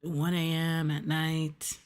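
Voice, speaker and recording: A woman speaking softly and casually in an ASMR livestream style, breathy and often slipping into a whisper, close to the microphone. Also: low-pitched, little pitch movement, slow. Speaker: VTuber streamer. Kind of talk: ASMR soft talking, casual livestream chat.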